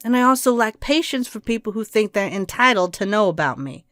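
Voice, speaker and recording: A woman's voice: medium pitch, animated, fairly quick, fairly bright tone, close to an everyday voice. Audio drama role: performer as a domineering, clinical woman doctor, impatient and scheming, faint music underneath. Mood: impatient, commanding. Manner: curt, commanding, clinical.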